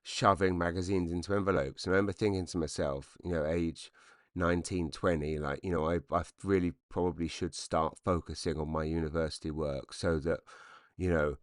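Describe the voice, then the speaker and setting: A man in his forties with a slightly croaky voice, rambling self-deprecatingly through a comedic solo podcast monologue. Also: low voice, fairly even intonation, a little fast, dry recording, studio quality. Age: 40s. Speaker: podcast host, stand-up comedian.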